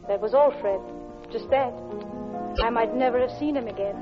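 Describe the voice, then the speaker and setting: A high, genteel English woman's voice, played by an actress, earnest and politely hesitant, as a married middle-class wife in a radio play. The old recording is muffled, with music behind it. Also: moderate pace, close to an everyday voice. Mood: wistful, confessional. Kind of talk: old-time radio drama acting, narration and dialogue.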